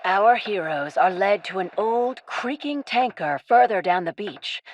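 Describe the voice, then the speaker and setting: A woman's voice: medium pitch, animated, unhurried, highs cut off. A woman narrating a scripted radio-play adventure as a storyteller. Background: music.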